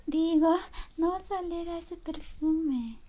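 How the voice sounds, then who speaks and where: A woman performing a soft-spoken, partly whispered ASMR roleplay as an affectionate, teasing best friend, coy and doting. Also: very high voice, slow pace. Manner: coy, playful, doting, murmuring little interjections. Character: affectionate, teasing, jealous young female best friend in love with the listener.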